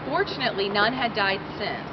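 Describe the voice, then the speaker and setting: A woman reading a novel aloud at an outdoor public gathering, declaiming it in short phrases with a pause after each. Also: mid-range voice, animated. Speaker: reader at a public read-in. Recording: dull outdoor recording with loud crowd. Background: loud crowd, urban outdoor noise.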